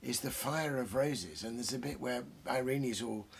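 A British man in his sixties, a host talking about books and reading aloud, reflective and savouring his phrases with deliberate pauses. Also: medium pitch, gravelly, a little hiss or hum. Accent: British English. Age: 60s. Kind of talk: bookish commentary and reading aloud. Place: small book-crammed study, indoors.

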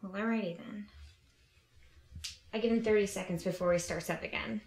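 A woman's voice: medium pitch, steady tone, a little fast, a little mellow, natural voice. A young woman playing a weary, sarcastic babysitter in a naturalistic short film, conversational and exasperated. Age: young adult. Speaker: actress in a short horror film. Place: indoors in a house living area. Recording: echoey room with background noise.

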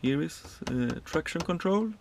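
A man in his thirties from Sweden talks slowly through his truck cab controls. His voice is heavily gravelly and full of fry. He stops often, restarts his sentences and fills the gaps as he explains. A faint hiss of noise sits under the recording from a cab camera mic. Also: on the high side, expressive. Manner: halting, many fillers and restarts, plain explaining. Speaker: truck driver vlogger. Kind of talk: casual cab tour walkthrough.

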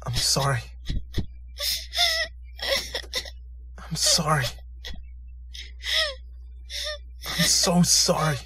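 A man playing a gentle, grieving young father in an anime fan dub, speaking softly and haltingly with remorse and tenderness. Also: fairly high pitch, slight background noise. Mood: sobbing apology. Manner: soft, halting, emotional delivery with sighs.

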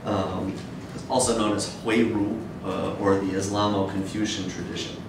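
A man in his thirties giving an academic lecture in a measured, hesitant way. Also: flat delivery. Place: dim wood-shelved seminar room with audience, indoors. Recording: echoey room, distant mic, noisy rumble.

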